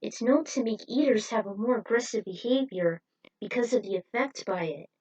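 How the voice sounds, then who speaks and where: A woman's voice: a little high, moderate pace, a little mellow, husky, far from the mic, highs cut off. A woman voicing an outspoken female pilot who explains things in a matter-of-fact way for a story. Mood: knowing.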